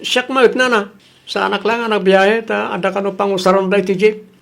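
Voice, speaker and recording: A man's voice: sits high, level tone, fairly quick, vocal fry, a bit bright. A man with an Ilocano accent playing an earnest, worried jeepney driver who defers to his boss in a comedy radio drama. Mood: worried, puzzled.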